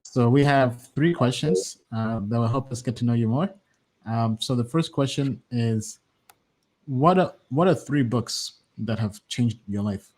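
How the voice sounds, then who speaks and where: A man's voice: mid-range voice, unhurried, darkish tone, low-quality recording. A man hosting an interview show, asking questions casually and repeating answers back.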